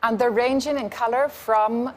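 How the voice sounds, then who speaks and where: A woman presenting a watch on a show, talking in a deliberate way with some fillers. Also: on the high side, steady tone, a little hiss or hum. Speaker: brand presenter. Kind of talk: product presentation.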